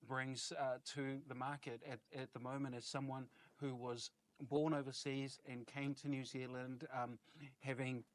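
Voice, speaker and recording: A man's voice: medium pitch, flat delivery, a little slow. A man hosting a podcast, chatting casually as he interviews his guest. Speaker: podcast host.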